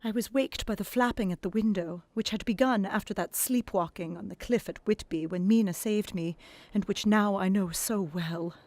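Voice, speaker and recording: A woman narrating a gothic novel in the first person, expressive and dramatic, dropping to whispers in the hushed passages. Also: medium pitch, moderate pace, few pauses, no room sound.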